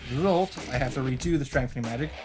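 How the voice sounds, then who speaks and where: A man with a mid-pitched voice reads visual novel narration aloud as a let's play host. He delivers it as first-person story text and drops in casual asides. Music plays faintly behind him. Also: rapid-fire. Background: game music.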